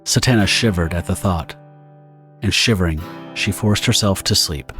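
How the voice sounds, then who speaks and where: A man reads a horror story as its narrator. His voice is on the low side, in scripted audio drama style. Soft music runs faintly beneath him. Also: normal speed.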